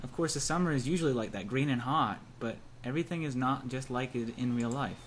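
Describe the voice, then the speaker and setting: A man's voice: mid-range voice, fairly quick, a little hiss or hum. A young man, an amateur, reading a visual novel aloud with casual banter and stumbling over words as he goes. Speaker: let's-play co-host.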